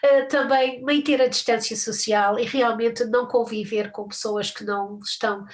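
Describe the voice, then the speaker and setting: A woman's voice: on the high side, fairly even intonation, moderate pace. An older woman hosting a live stream from home over a video call, chatting casually, with frequent restarts and little laughs.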